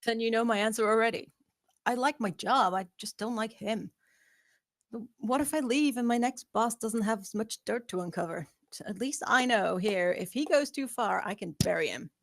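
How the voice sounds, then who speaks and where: A woman's voice: on the high side, moderate pace, no echo, lightly acted. A woman playing a sardonic, self-assured young office worker at a screenplay table read, with a wry, dry manner.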